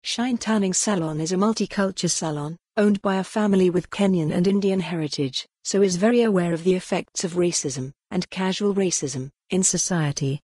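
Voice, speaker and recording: Synthetic newsreader voice, slightly breathy, flat even delivery, reads every heading and link. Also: mid-range voice, a little fast, hardly any pauses, dry recording, clean studio sound. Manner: steady and even, reads page links and headings out word for word. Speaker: news article reader. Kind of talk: reading a news article aloud.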